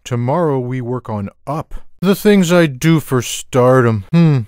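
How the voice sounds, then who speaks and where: A lazy, food-loving cartoon house cat played by a man for a video game. He speaks at a slow, unhurried pace in a middling male pitch, tossing out sarcastic remarks in a deadpan way. The sound is dry, with no room around the voice. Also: clear, resonant tone, dry recording, natural voice. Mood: sardonic, deadpan. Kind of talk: scripted video game character lines.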